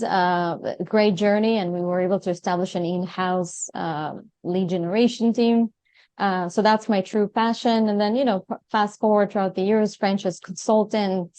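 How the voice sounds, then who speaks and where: A woman speaks as a podcast guest in an upbeat, grateful way. Her voice sits at a middle pitch and is recorded close and dry, with no room sound. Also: darkish tone, dry recording. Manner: upbeat, grateful, self-described go-getter. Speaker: company CEO, podcast guest. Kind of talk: conversational interview.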